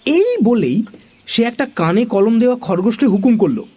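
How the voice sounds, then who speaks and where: A woman's voice: a little high, big pitch swings, normal speed, mellow, slightly rough. A woman narrating a scripted comic story for children as the storyteller.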